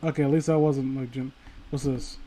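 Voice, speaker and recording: A man's voice: mid-range voice, fairly even intonation, fairly quick, mellow. A man casually reading aloud on a livestream, rambling and sweary, often breaking off mid-sentence with mocking commentary. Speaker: streamer reading stories aloud to viewers.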